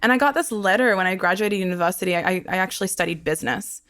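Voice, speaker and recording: A woman's voice: mid-range voice, fast, fairly bright tone. A woman, a podcast host chatting about her family over a video call, laughing often. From Australia. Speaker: podcast host being interviewed. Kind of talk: personal storytelling in conversation.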